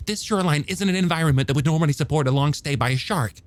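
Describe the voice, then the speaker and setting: A man's voice: fairly high pitch, racing, voice pushed up, natural voice. A man playing an eager, bookish young male scientist in an audiobook, stammering a little as he explains things emphatically and testily. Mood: testy. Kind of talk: audiobook character dialogue.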